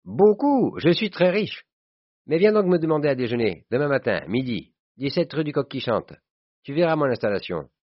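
A man voicing a boisterous, vulgar, jovial rich old school friend in an audiobook, loud and exuberant. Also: mid-range voice, big pitch swings, normal speed, pitched above the performer's own voice. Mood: boastful, cheery. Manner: loud, exuberant, back-slapping familiarity. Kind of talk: audiobook character voice. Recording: dull, roomy sound.

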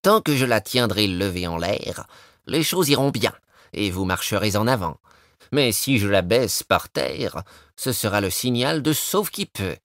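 A man's voice: fairly low pitch, expressive, measured pace, a bit bright, dry recording, clean studio sound. A man reading as a boastful cunning fox. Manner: smooth and self-assured. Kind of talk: storybook voice acting.

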